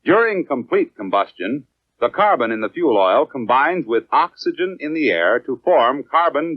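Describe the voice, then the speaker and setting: An American man reads scripted 1950s educational film narration in a measured, clearly enunciated, instructional manner. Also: medium pitch, dry recording. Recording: dry, dull lo-fi 1950s film soundtrack.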